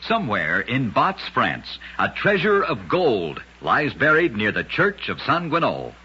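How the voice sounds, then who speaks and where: A man narrating scripted oddities as an old-time radio host, with a dramatic, emphatic delivery and deliberate pauses. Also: mid-range voice, huge pitch swings, pushed and bright, slightly rough. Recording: dull, lo-fi old radio recording.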